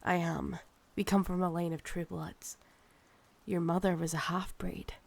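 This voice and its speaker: Slow, creaky Scottish vampire seductress, acted by a woman. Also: lowish voice, flat delivery, a little mellow. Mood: haughty, explaining. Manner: slow, teasing, condescending, calls listener darling. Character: possessive, proud, seductive ancient true-blood vampire woman. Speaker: ASMR roleplay performer. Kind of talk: intimate ASMR roleplay, partly whispered.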